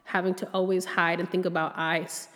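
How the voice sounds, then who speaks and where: A woman hosting a podcast in a casual conversation, encouraging her guest with animated affirmations. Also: fairly low pitch, moderate pace, in a large hall, soft background music.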